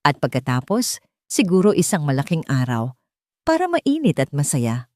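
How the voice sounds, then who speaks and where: A woman narrator voicing a gentle, encouraging young Filipino woman who talks simply and coaxingly to a child in an audiobook. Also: low-pitched, wildly swooping pitch, normal speed, no room sound, studio quality. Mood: cheerful coaxing.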